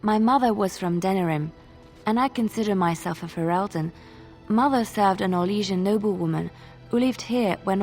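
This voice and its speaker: An actress playing a gentle, wistful young bard in a video game, with a sincere storytelling manner. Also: female voice, mid-range voice, lightly acted. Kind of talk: video game dialogue acting.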